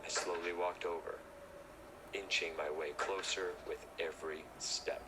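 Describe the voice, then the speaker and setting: A man's voice: deep voice, fairly even intonation, measured pace. A man reading a scripted first-person horror story as narrator. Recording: noisy recording.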